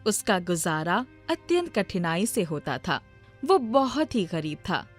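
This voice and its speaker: A woman's voice: on the low side, big pitch swings, unhurried, faint music behind. A woman narrating a scripted storybook tale.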